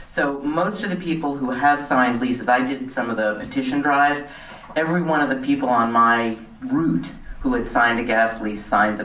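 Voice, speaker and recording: A woman's voice: a bass voice, fairly bright tone. A middle-aged woman addresses a town meeting as a local resident. She speaks plainly and earnestly, partly off the cuff. The sound is roomy and reverberant, picked up some way from the microphone. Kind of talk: public comment speech, semi-extemporaneous. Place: community center meeting hall. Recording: echoey hall, distant microphone.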